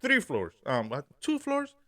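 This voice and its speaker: A young man co-hosting a podcast, telling a dream story in an animated way, laughing and acting out what people said. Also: high voice, a little slow. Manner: animated, laughing, acts out quotes. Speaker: podcast co-host. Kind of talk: casual chat, storytelling.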